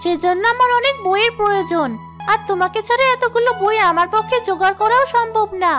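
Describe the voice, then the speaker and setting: An earnest young girl from a children's cartoon , acted by a woman, with an extremely high, youthful voice. She sounds like a caring big sister. Music runs clearly under her speech. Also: quick pace. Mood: earnest, concerned. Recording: music behind the voice.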